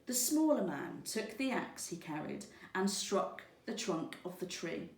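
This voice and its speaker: Slow, expressive woman reading a storybook. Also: lowish voice, creaky. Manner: deliberate, expressive read-aloud. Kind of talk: children's storybook narration. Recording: roomy, echoing, distant microphone.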